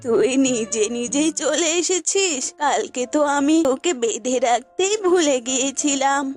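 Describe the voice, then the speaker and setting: Slow, high-voiced kindly old granny. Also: female voice, animated, darkish tone. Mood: gently insistent. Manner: tender, unhurried. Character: kind, doting old village woman who keeps animals. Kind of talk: cartoon voice acting in a children's fable. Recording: music bed behind the voice.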